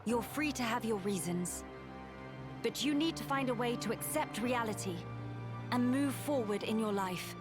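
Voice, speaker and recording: An actress playing a composed, stern noblewoman in a video game cutscene, speaking in a formal, measured, authoritative way. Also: fairly high pitch, expressive, over music, close to an everyday voice. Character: composed, stern noblewoman urging a veteran to act.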